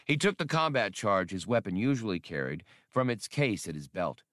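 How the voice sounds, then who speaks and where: A man's voice: on the low side, very animated, normal speed, a bit bright, no echo, clean studio sound. A man reading fiction as an audiobook narrator, in scripted storytelling style.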